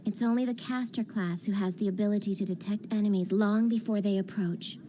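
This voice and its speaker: A woman playing a brisk, commanding young female mage in an English anime dub. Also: medium pitch, fairly even intonation, mellow, slight background noise, close to an everyday voice. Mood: businesslike. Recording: music under the voice.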